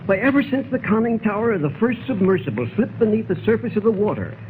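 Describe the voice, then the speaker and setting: An American actor playing a stubborn, fervently confident submarine designer in a 1940s radio drama, speaking insistently and stammering with fervor. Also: male voice, sits high, animated, quick pace. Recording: noisy, dull 1940s radio recording.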